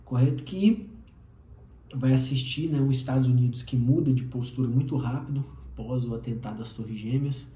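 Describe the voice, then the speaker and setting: A man's voice: medium pitch, moderate pace, mellow, a little hiss or hum. A man in his thirties with a Brazilian accent, giving a lecturing solo commentary full of tag questions and fillers. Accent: Brazilian Portuguese. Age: 30s. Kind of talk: solo explainer monologue. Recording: roomy echo.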